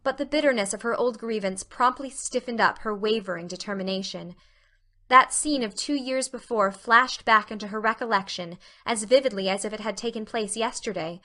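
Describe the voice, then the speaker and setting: A woman narrating a storybook audiobook in an expressive, scripted style. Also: on the high side, normal speed.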